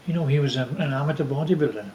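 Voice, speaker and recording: An older man from Wales telling stories from his life casually, haltingly, repeating words as he recalls them. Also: mid-range voice, darkish tone, highs cut off, a little hiss or hum. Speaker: father telling family stories. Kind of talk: casual storytelling, reminiscing. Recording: roomy, echoey home recording.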